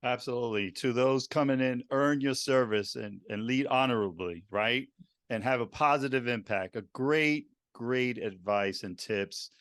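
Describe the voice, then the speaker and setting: A man's voice: medium pitch, normal speed, fairly bright tone, no room sound, studio quality. A man co-hosting a podcast, affirming the guest's points in a casual way before asking how to reach him. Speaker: podcast co-host. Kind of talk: interview wrap-up.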